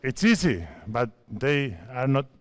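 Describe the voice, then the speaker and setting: A man's voice: mid-range voice, very animated, a little slow. A man from Spain in his fifties, a native Spanish speaker coaching players on court in a large indoor sports hall, giving short instructive commands. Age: 50s. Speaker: basketball coach. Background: basketballs bouncing.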